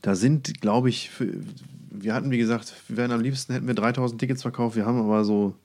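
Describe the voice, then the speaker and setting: A man's voice: medium pitch, fairly even intonation, quick pace, darkish tone. Casual man with wry understatement. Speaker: podcast co-host. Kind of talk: casual podcast chat.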